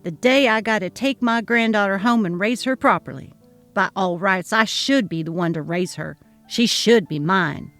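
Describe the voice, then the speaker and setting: A woman reading the part of a jealous, delusional older grandmother who shouts threats. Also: medium pitch, normal speed, soft background music, close to an everyday voice. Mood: possessive.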